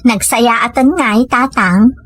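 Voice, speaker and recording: A woman's voice: a little high, slow, a bit bright, husky, no echo, heavily acted. A Filipino woman acting a hardworking village family woman in an Ilocano audio comedy drama. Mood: earnest, concerned.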